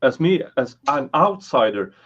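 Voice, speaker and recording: Man, Swedish accent, livestream host, slow, halting, slightly muffled audio. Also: medium pitch, big pitch swings, fairly bright tone, slightly rough. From Sweden. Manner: hesitant, many fillers and restarts. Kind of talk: casual livestream chat.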